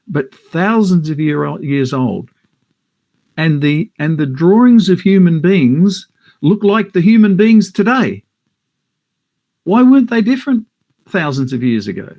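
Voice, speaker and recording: A man's voice: mid-range voice, darkish tone. An Australian man from Western Australia hosting from his home office, chatty, with many fillers and restarts. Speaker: host. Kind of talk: casual live-stream commentary.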